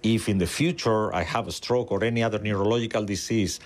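Middle-aged man, Spanish accent, native Spanish speaker, lowish pitch, opinionated expert, explanatory interview talk. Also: fairly even intonation, fast. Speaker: interviewed neuroscientist.

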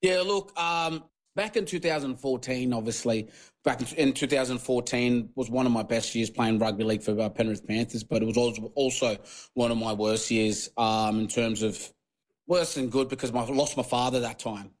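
A man's voice: medium pitch, steady tone, normal speed. Papua New Guinea-born man, rambling. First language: Tok Pisin. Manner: rambling, many fillers and restarts. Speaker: guest, former rugby league player. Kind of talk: casual podcast interview.

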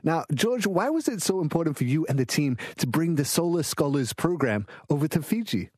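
A man with a fairly high voice hosts a news interview, upbeat and casual in his phrasing. The sound is close and dry. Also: big pitch swings, a little fast, darkish tone, husky, no echo. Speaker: news program host. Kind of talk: conversational interview hosting.

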